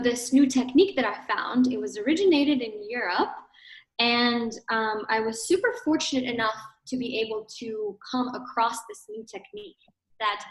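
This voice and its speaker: A woman's voice: on the high side, fairly bright tone, echoey room, poor audio. A woman in her thirties from Ukraine with a Ukrainian accent, a fitness studio owner explaining things casually in an interview, with frequent fillers and tag questions.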